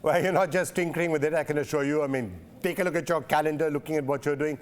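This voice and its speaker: A male interviewer frames probing questions, circling back on his words as he works his way to the point. His voice sits a little above the usual pitch for a man. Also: fast talker. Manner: probing, repeats phrases while framing questions. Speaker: interviewer, news show host.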